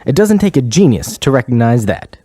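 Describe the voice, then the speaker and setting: A man's voice: mid-range voice, sing-song, a little fast, dark tone, breathy edge. A man playing a sardonic, wordy radio sidekick in a scripted satirical comedy.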